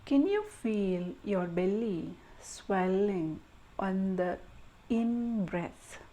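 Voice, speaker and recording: A woman leading a guided meditation in a gentle, soothing manner, speaking in short measured phrases with pauses between them. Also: medium pitch, expressive.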